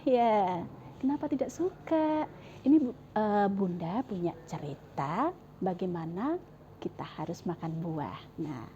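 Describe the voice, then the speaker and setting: A woman's voice: medium pitch, warm tone, muffled recording, a little hiss or hum. A woman reading a storybook aloud to children in a sing-song manner and talking to them directly, recorded on a clip-on mic. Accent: Indonesian. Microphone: clip-on lapel mic.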